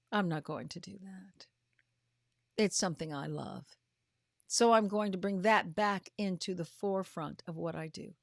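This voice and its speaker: A woman in her fifties or older giving a motivational talk as a host, with emphatic, drawn-out delivery that often drops into breathy, hushed phrases. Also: medium pitch, no room sound, clean studio sound. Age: 50s or older. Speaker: podcast host and coach. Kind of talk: motivational livestream talk to followers.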